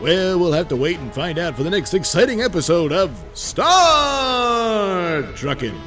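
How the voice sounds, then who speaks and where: Slow, theatrical male serial narrator. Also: high-pitched, very animated, fairly bright tone. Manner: grandiose, theatrical announcer delivery. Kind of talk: dramatic scripted serial narration, tongue-in-cheek. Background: music.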